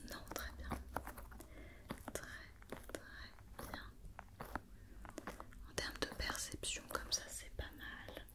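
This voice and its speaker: A woman speaking in a soft, breathy whisper, playing a patient, methodical doctor in an ASMR check-up roleplay. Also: drawn-out words, pauses often. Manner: patient, methodical, repeating instructions and questions. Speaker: ASMR performer playing a doctor examining a robot.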